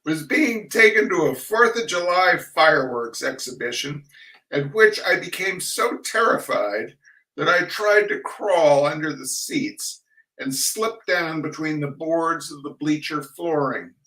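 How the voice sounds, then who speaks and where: An older man hosting a reading of humorous essays, chatting casually and reading aloud in a wry, theatrical, halting manner. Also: on the high side, expressive, pushed and bright. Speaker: host of a weekly humor reading. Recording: roomy echo, distant microphone.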